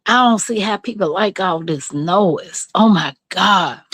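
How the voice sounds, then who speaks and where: A woman's voice: mid-range voice, a little slow, a bit bright, no echo, clean studio sound. A middle-aged woman with a Southern US accent, chatting casually and candidly with livestream viewers in a folksy way. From Mississippi, rural southern United States. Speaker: livestream host.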